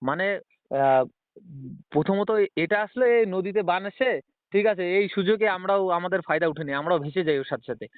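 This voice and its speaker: A man's voice: high voice, normal speed, no echo. A young man talks insistently on a phone call, repeating himself and pleading his point. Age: young adult. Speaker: job candidate calling on the phone. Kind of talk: argumentative phone conversation. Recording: tinny, band-limited phone line.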